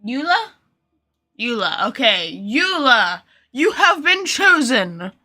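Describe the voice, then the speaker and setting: A woman's voice: fairly high pitch, sing-song, slow, sharp tone. A woman chatting casually with viewers on a game livestream, thinking aloud in an informal, chatty way. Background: game music.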